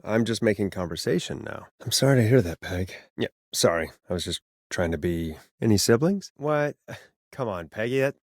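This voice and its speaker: Animated, sardonic radio host. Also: male voice, lowish voice, moderate pace, no echo, studio quality. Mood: wry, subdued. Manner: wry, conversational, expressive. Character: sardonic male late-night radio host, wry and easily exasperated. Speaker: late-night radio DJ character. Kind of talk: video game voice acting.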